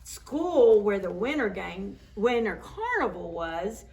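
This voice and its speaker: A woman doing an expressive, playful picture-book read-aloud for preschool children. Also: mid-range voice, takes it slowly, rough recording. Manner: playful, acts out sound words. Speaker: children's storytime reader.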